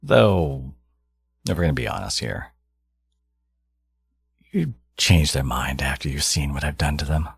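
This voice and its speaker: A smug supervillain genius, acted out by a man, speaks extremely low and slow in an intimate audio roleplay. His delivery is a theatrical, teasing drawl with wide swings in pitch. He taunts his hero with possessive, doting arrogance. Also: animated, gravelly, breathy edge, dry recording, exaggerated voice. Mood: teasing, menacing.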